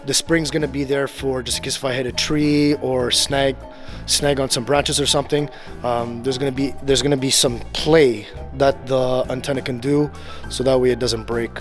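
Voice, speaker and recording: A man giving a casual how-to narration on installing a radio in his truck, talking in a relaxed, rambling way with lots of fillers. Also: mid-range voice, normal speed, soft background music. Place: parking garage beside his truck.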